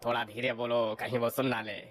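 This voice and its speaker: A woman voicing a grumpy older father-in-law in a cartoon who grumbles and hushes others. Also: extremely low pitch, little pitch movement, fast talker. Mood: grumbling.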